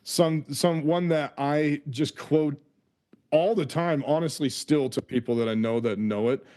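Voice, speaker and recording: Excitable laughing podcast host man. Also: fairly high pitch, a little slow. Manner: excitable, laughing, stumbles over words. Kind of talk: casual sports podcast chat.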